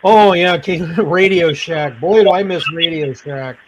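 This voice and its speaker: A man with a fairly high voice hosts a casual podcast, rambling from one anecdote to the next. He laughs easily and restarts his sentences, with a faint noise floor under the recording. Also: a little slow, lo-fi sound. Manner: rambling, laughs often, repeats himself. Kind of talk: casual chat.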